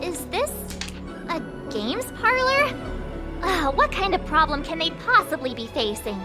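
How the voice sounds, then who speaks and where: An actress playing a perky, curious, outspoken teenage girl adventurer in a video game, with an expressive girlish delivery. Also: very high-pitched, unhurried, full character voice. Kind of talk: animated video game voice acting. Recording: loud game music behind voice. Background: loud music.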